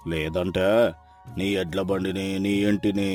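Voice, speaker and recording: A pitiless, greedy landlord from a village moral tale, acted by a man. His low male voice is angry, harsh and domineering, full of threat, over background music. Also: little pitch movement, unhurried, a little mellow. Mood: cold threatening. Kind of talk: dramatized moral story acting.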